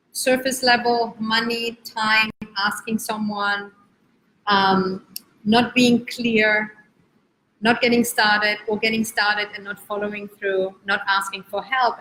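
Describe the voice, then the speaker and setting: A woman from Spain, raised in Germany, giving an earnest, encouraging motivational talk as a life coach, slowing down to stretch key words. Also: mid-range voice, a bit bright, slight background noise, rough recording. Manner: earnest, encouraging, uneven pace with drawn-out words. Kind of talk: live-streamed motivational talk.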